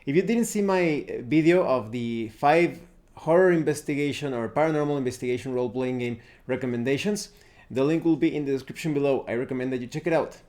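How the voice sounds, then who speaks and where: A man presenting an unscripted game review, explaining things deliberately and often pausing mid-sentence. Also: medium pitch, a little mellow. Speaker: tabletop RPG reviewer, presenter.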